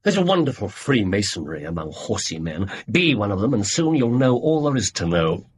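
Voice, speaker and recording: An actor playing an incisive, self-assured Victorian gentleman detective in a radio drama, with an English accent and precise, theatrical delivery. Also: on the low side, big pitch swings, normal speed, slightly rough. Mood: amused, brisk.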